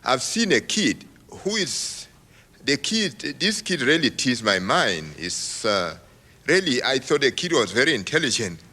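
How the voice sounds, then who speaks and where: A man from Cameroon asking a question from the audience at a public talk, halting with many restarts and laughing as he talks. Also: mid-range voice, very animated, sharp tone. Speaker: audience member. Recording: reverberant hall.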